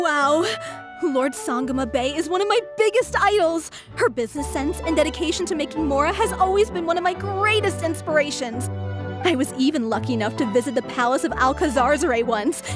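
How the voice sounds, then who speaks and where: Eager, high-voiced money-loving merchant girl. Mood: starstruck. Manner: persuasive sales patter, prone to giggling. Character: eager, money-loving young female merchant with big ambitions. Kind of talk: video game voice acting. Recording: game music behind the voice.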